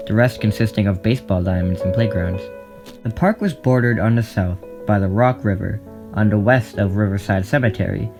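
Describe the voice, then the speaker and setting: Male storyteller for audio drama narration, lower register, plucked guitar bed. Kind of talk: scripted audiobook-style narration. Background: plucked guitar music.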